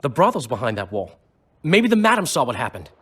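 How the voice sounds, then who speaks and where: An actor playing a wry, eloquent, cynical bard in a fantasy video game, with a husky voice. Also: male voice, medium pitch, big pitch swings, brisk, fairly bright tone. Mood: wry, sardonic. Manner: wry, theatrical phrasing.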